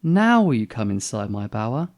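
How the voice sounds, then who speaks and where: A man's voice: fairly low pitch, big pitch swings, moderate pace, creaky, darkish tone. A man voicing a small, big-hearted male bowerbird in a storybook, lovelorn, earnest and polite. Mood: eager wooing.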